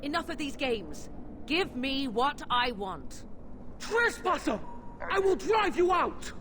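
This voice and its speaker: A woman's voice: high-pitched, sing-song, slow pace, noisy background, exaggerated voice. A woman playing a demon in a child's guise in a fantasy video game, imperious and hostile with threats.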